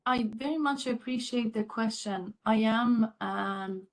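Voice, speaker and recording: A woman from Iran answering as an expert panelist, speaking off the cuff with searching pauses. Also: on the high side, poor audio.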